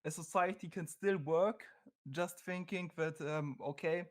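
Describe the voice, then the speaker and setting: A man's voice: on the high side, fairly even intonation, a little slow. Hesitant man with a German accent. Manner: hesitant, lots of fillers, searching for words. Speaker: caller. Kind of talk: online debate call.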